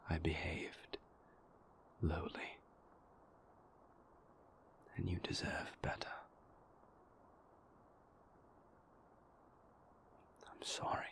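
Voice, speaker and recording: An actor playing a remorseful, formal and guarded vampire boyfriend in an intimate roleplay audio, halting and careful, with a breathy, gravelly low voice. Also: male voice, little pitch movement, fairly bright tone, in a whisper, exaggerated voice. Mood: contrite, subdued.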